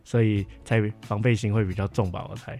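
Music plays behind a young man with a fairly low voice. He speaks Taiwanese-accented Mandarin in a casual podcast style, explaining things at length in a reflective, hedging way. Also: steady tone, a little fast, mellow. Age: young adult. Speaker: camp counselor sharing experiences. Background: background music.

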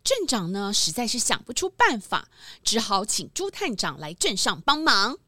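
Bright, expressive woman storyteller. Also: medium pitch, moderate pace, dry recording. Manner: explanatory, expressive. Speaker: story narrator. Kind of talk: animated scripted narration for children.

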